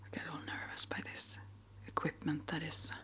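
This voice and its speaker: A woman speaking in a gentle, hesitant, rambling way, as an ASMR creator chatting to her viewers. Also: normal speed. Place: small upstairs apartment.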